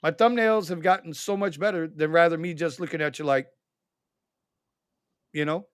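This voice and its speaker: A man hosting a casual livestream chat, reading viewer comments aloud in an animated way. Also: a little high, normal speed, smooth, no room sound, clean studio sound. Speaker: livestream host.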